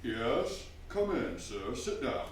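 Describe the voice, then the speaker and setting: An actor playing an authoritative, dry police inspector in a stage radio drama, speaking in an official, measured way. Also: male voice, medium pitch, very animated. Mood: businesslike. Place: theatre stage, live performance. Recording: very echoey hall, distant mic, clear noise.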